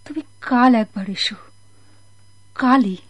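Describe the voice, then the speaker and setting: Casting: anguished mother in radio melodrama, higher voice, slow, halting, breathy delivery. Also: no room sound. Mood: pleading, torn. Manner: halting, anguished, breathy pleading with repeated words. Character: sorrowful, torn mother waiting for a lost husband. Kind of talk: melodramatic radio drama acting.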